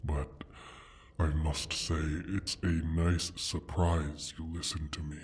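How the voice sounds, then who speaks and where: A man voicing a proud, menacing dragon guarding his hoard in a slow, theatrical fantasy roleplay, with a breathy rumble and a deliberate, condescending manner. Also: level tone. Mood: calm menace. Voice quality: husky, breathy rumble with whispered edges. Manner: deliberate, brooding, condescending, sighing.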